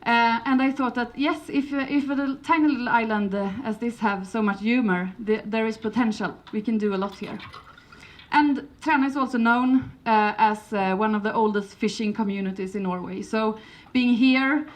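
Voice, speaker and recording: A woman's voice: on the high side, normal speed. A woman from Sweden with a Swedish accent, giving a conference presentation in English, hesitant, with many fillers and restarts, and a light humour. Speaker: conference speaker.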